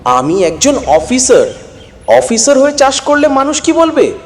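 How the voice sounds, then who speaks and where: A man's voice: extremely high pitch, sing-song, unhurried, full character voice. Man acting arrogant son with swooping voice. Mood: angry, scornful. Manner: indignant, emphatic. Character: arrogant young officer son, scornful and quick-tempered. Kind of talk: dramatized moral story voice acting. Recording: long hall-like reverb.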